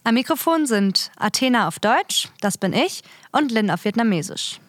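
A young woman from Berlin hosts a radio magazine, reading from a script. Her voice sits slightly high and is recorded close and dry. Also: very animated, moderate pace, no echo. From Berlin, Germany.

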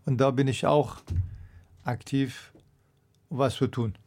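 A man's voice: mid-range voice, fairly even intonation, slow pace, a little mellow. A middle-aged man with a Persian accent, a doctor answering radio interview questions hesitantly, searching for his words. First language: Persian (Dari).

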